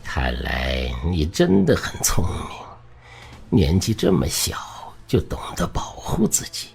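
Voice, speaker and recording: An actor playing a cold, sinister middle-aged intruder in an audio drama, speaking in a slow, detached, menacing murmur. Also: male voice, low voice, huge pitch swings, creaky, a little mellow, husky, full character voice. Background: faint music.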